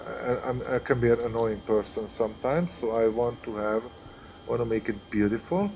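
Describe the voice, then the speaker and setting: A man's voice: mid-range voice, moderate pace, creaky, darkish tone, a little hiss or hum. A man with a Hungarian accent, streaming live and commenting casually as he thinks aloud through each step. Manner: chatty, thinks aloud while working through steps. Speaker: flight sim streamer.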